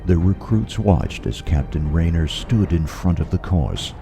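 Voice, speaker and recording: A solemn storyteller played by a man narrates an audio drama in a very deep, animated voice. Music plays clearly behind him. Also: measured pace, full character voice. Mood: dramatic.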